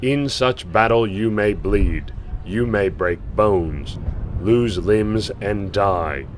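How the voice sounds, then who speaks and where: Man playing a very deep, merciless alien soldier. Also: a little hiss or hum. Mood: harsh, commanding. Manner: stern, commanding, deliberate clipped formality. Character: harsh alien soldier commander, merciless, contemptuous drill instructor. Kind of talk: science fiction audio drama acting.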